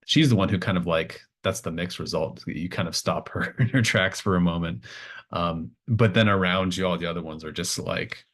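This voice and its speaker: A man narrating a tabletop roleplaying game as game master, improvising in a halting, conversational way. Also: low-pitched, fairly bright tone. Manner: halting, conversational, pieces scenes together aloud.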